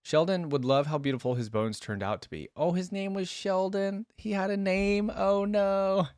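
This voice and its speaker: A man's voice: high voice, moderate pace, a little mellow, clear, resonant tone, no echo, clean studio sound. A man hosting a reaction video, reading a story aloud with casual commentary.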